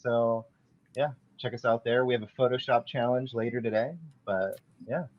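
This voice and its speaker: A man's voice: medium pitch, normal speed. Brief: male guest, mid-range voice, easygoing, muffled lo-fi audio. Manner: friendly, relaxed, hesitant with fillers. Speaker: podcast guest, voice actor and artist. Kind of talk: casual podcast chat.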